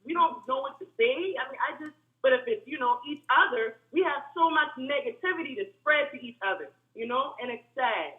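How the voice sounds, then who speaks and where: A woman calling in to a talk show, speaking in a monotone, hard-edged voice, earnest and steady. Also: high-pitched, a little fast, few pauses. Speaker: caller. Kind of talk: phone-in comment. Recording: tinny phone line.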